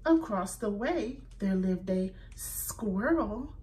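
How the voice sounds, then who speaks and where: Woman, children's story reader, very slow, middle pitch, clear and expressive. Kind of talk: reading a picture book aloud to young children.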